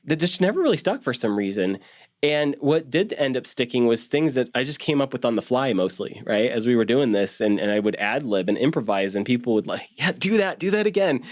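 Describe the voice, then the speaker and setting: A man talking casually in an interview as a voice actor, explaining in an animated way and often checking in with the listener. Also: mid-range voice. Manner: animated, acts out examples, often checks with "right?".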